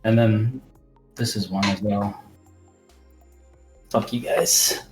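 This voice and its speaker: A man's voice: fairly low pitch, steady tone, slow, over music. A man chatting casually in a slangy, joking way on a talk show. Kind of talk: casual chat.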